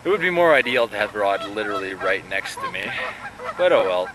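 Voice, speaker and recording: A man's voice: fairly low pitch, big pitch swings, fairly quick. Young guy rambling on while fishing outside, camera at arm's length, faint outdoor hum. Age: young adult. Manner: chatty, rambling asides. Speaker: vlogger. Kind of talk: casual fishing vlog. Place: outdoors by a pond. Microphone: camera held at arm's length. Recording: faint outdoor background noise.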